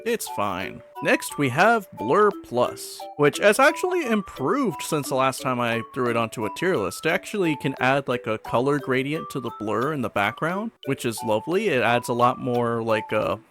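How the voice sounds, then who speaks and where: A man hosting a gaming video, giving casual, informal commentary in an animated, conversational way. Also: medium pitch, normal speed, few pauses, over music. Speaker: host of a gaming video channel.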